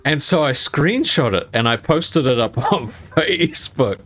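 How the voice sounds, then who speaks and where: A man's voice: mid-range voice, animated, a little slow, a bit bright. Casting note: young guy, mid-range voice, casual rambly storyteller full of "um"s and "you know"s, faint music under him. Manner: rambling with fillers, laughing as he tells it. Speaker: gaming YouTuber telling a personal story.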